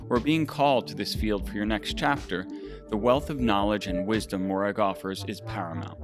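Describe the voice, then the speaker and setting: A man hosting a podcast, reading a scripted sponsor message in a presenting style. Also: lowish voice. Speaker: podcast host.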